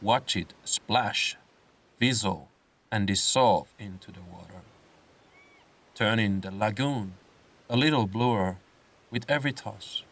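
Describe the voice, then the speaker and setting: Slow, low-voiced man guiding meditation. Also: a bit bright, far from the mic. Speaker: guided meditation narrator. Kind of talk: slow scripted guided meditation with comic swearing.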